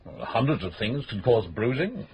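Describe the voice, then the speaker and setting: A nervous, evasive middle-aged widower, played by a man in a radio drama, stumbling and flustered under questioning. His mid-pitched voice rises and dips a lot, over a faint hiss. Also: big pitch swings, moderate pace, darkish tone, natural voice, low-quality recording. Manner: hesitant, flustered.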